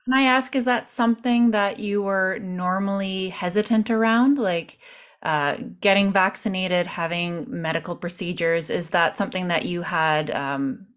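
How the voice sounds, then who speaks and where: A woman's voice: on the low side, a little slow, a bit bright, smooth. A woman hosting a podcast interview, asking questions in a friendly way with some fillers. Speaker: podcast host.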